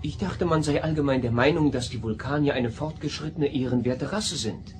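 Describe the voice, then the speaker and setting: A man's voice: mid-range voice, moderate pace, music in the background, close to an everyday voice. Man as a polite, literal male android. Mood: polite, earnest. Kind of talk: German audio drama acting.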